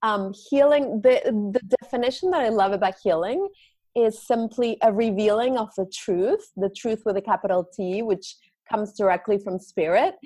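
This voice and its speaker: A woman's voice: mid-range voice, moderate pace, warm tone, dry recording. Woman speaking in a dry, close recording. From Argentina. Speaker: guest, yoga and meditation teacher. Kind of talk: casual interview talk.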